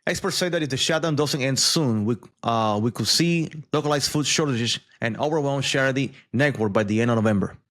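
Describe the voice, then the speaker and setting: A man's voice: medium pitch, a little fast, few pauses, fairly bright tone. A man giving casual news commentary, conversational, with frequent asides and repeated words.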